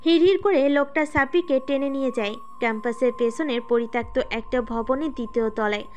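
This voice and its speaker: A woman's voice: high voice, a little fast, a little mellow, soft background music. A woman reading scripted story narration as the narrator of an audio tale.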